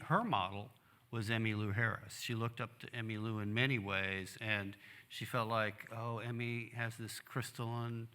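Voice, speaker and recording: A man's voice: fairly low pitch, pushed and bright. A man hosting a live talk as moderator, speaking conversationally to guests and audience. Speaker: moderator and host. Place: museum theater, on stage.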